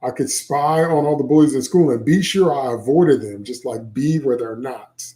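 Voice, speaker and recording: A man's voice: medium pitch, moderate pace, warm tone, reverberant, lightly acted. Man reading as a wry, chatty, geeky twelve-year-old boy. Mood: musing, geeky. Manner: chatty, wry, rambling inner monologue. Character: smart-mouthed, geeky sixth-grade boy musing to himself. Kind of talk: first-person children's novel read aloud.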